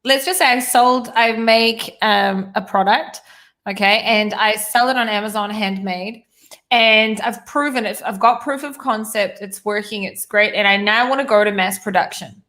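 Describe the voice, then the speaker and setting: A woman's voice: medium pitch, normal speed, fairly bright tone. A woman from New Zealand hosting a conversational interview, thinking aloud as she works through winding questions. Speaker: host.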